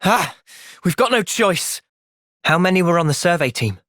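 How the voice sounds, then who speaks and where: A young man playing an earnest, thoughtful young soldier hero in a fantasy video game's cutscenes, with expressive delivery. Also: sits high, normal speed, dry recording, clean studio sound. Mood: urgent, distressed. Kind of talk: video game cutscene acting.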